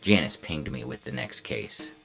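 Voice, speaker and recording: A man voicing a polite, officious robot lawman with a deadpan, clipped delivery in a science fiction audio story. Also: lowish voice, moderate pace, vocal fry, voice pushed up, exaggerated voice. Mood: politely detached. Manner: deadpan, clipped, matter-of-fact. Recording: music bed under the voice.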